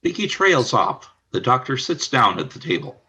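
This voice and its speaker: A man's voice: mid-range voice, huge pitch swings, moderate pace, pushed and bright, slightly rough. A man narrating the stage directions of a radio play in a plain, matter-of-fact way.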